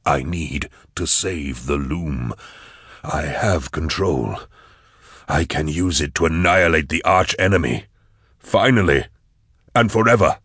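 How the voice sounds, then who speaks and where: A man voicing a male Inquisitor in a trance, speaking in slow, halting, low words. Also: fairly bright tone, husky, dry recording, studio quality, full character voice. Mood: fixated. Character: gravel-voiced entranced male Inquisitor.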